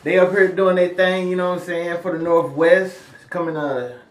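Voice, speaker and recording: Laid-back male podcast host, slightly high. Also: steady tone, moderate pace, echoey room, low-quality recording. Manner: laid-back slangy talk, many "you know what I'm saying". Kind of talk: casual podcast interview. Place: home podcast room.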